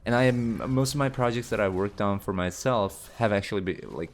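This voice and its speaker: A young man in his late teens with a very low voice, chatting through viewer questions. His talk rambles loosely, full of ums and restarts. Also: brisk, slight background noise. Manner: rambling, with many fillers and restarts. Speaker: YouTube creator. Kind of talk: casual Q&A chat.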